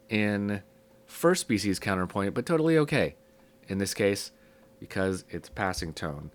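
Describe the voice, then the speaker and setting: A man who is sick, his voice low and rough, teaching a casual music theory lesson and thinking aloud with frequent ums.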